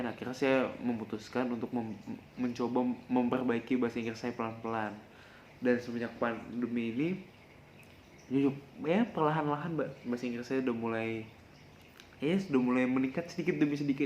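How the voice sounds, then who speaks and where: A man's voice: medium pitch, dull audio. A young man hosts a casual podcast, sharing tips in a rambling, self-correcting, confessional way and addressing listeners directly. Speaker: podcast host. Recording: echoey room with faint background noise.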